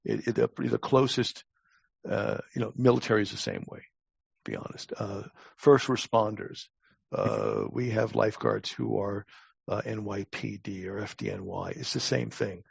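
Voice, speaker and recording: A man in his sixties with a gravelly voice, telling stories casually as a podcast guest in a halting, wry way with repeated words. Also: fairly low pitch, lively intonation, no room sound. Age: 60s. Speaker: podcast guest, longtime lifeguard. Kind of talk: casual interview storytelling. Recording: dull, lo-fi close recording.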